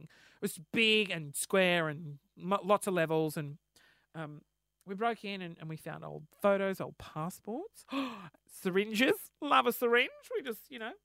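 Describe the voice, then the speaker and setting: A man with an Australian accent, hoarse from losing his voice, telling a rambling, sweary, self-mocking story on his solo podcast. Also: high voice, sharp tone, no room sound, clean studio sound. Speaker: podcast host.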